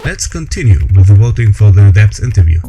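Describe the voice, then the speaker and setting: A man giving calm, scripted narration for a documentary. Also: lowish voice, over music. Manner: calm, measured.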